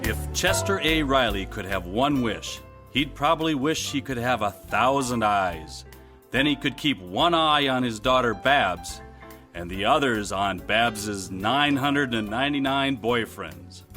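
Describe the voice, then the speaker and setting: An American man with a very bright voice narrates in old-time radio style. Music plays softly behind his scripted storytelling. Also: mid-range voice.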